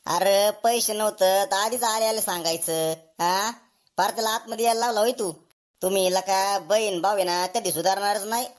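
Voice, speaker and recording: A woman's voice: mid-range voice, moderate pace, lightly acted. A fed-up husband in a comedy cartoon, played by a woman. He grumbles and mocks his brother-in-law at every turn, with dry sarcasm and a complaining manner. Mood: grumbling. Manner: mocking, complaining.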